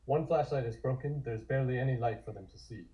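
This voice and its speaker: A man's voice: mid-range voice, quick pace, mellow, dull audio. A man reading scripted scene narration for a comic table read.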